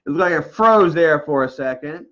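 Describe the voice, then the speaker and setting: A man's voice: a little high, lively intonation, a little fast, fairly bright tone. A man in his thirties chatting casually on a livestream, rambling with lots of "man" and "bro" and frequent laughs. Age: 30s. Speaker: livestream co-host. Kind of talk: casual chat reading live comments. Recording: lo-fi livestream audio.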